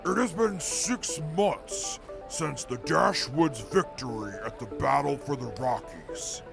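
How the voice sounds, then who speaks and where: A man playing a pompous gravelly robot reporter. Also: a little high, lively intonation, slow pace, over music, full character voice. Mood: grandiose. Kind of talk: audio drama acting.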